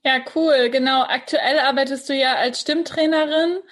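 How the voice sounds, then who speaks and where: Higher-voiced woman hosting a podcast. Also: steady tone, normal speed. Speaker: podcast host and mindset coach. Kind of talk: conversational podcast interview.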